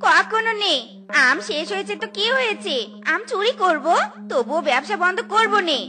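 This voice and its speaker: Very high voice, bossy young fox wife, cartoon schemer, exclamatory, loud music underneath. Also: female voice, lively intonation, normal speed, full character voice. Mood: brash, scheming. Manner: theatrical, exclamatory. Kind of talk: cartoon voice acting. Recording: children's cartoon music behind voice.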